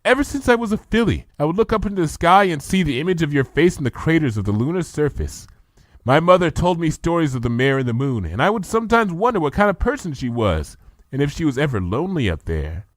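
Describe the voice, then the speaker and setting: A man's voice: a little high, very animated, fast, no room sound, pitched above the performer's own voice. A man voicing an earnest, bookish young female unicorn who talks eagerly for a storybook reading.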